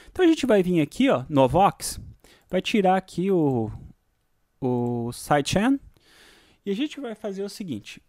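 A man's voice: high voice, darkish tone, dry recording, clean studio sound. A Brazilian man hosting a casual music production screencast, thinking aloud and often checking in with short tag questions. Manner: informal, frequent tag questions. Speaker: music production tutorial host. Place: indoors, voice-over on a screen recording.

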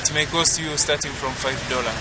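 A young man with a Tanzanian accent, answering questions in a relaxed, easygoing way outdoors, picked up by the host's handheld camera. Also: medium pitch, brisk, very noisy. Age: young adult. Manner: relaxed, easygoing, explains simply. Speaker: interview guest. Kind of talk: casual conversation. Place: outdoors at a market stall area. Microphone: host's camera at arm's length.

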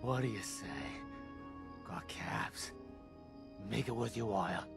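A man playing an unhinged, menacing backwoods cannibal trapper in a video game, muttering gravelly, halting, clipped phrases. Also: on the low side, over music. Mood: gleefully predatory. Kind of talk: video game character acting.

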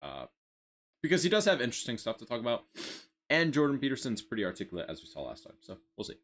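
A young man vlogging casually at a desk, with a deep voice and a rambling, hesitant way of talking full of fillers. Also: huge pitch swings, quick pace, fairly bright tone, slight background noise. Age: young adult. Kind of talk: casual commentary. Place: small room at a desk.

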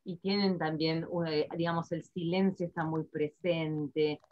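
A woman from Argentina with an Argentine Spanish accent, moderating a webinar. She speaks softly and trails off at the ends of her phrases, heard over a video call. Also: low-pitched, steady tone, unhurried. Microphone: webcam on a video call. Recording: dull, lo-fi video call audio.

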